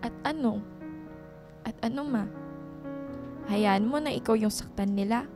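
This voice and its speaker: A devoted young daughter in a radio drama. She speaks in a high, earnest Filipino-accented voice, politely, over clearly audible music. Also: measured pace. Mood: hurt, earnest. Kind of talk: Tagalog radio drama acting.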